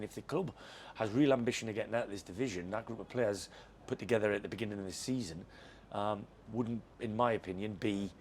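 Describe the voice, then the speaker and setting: A man's voice: on the low side, a little mellow, a little hiss or hum. A man who manages a football club, talking frankly and reflectively in a post-match interview, often repeating words as he thinks. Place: football stadium.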